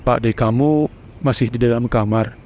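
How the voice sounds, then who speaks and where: A man voicing a worried young husband in a horror cartoon, who speaks in a calm, flat, reassuring way. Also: mid-range voice, normal speed, lightly acted.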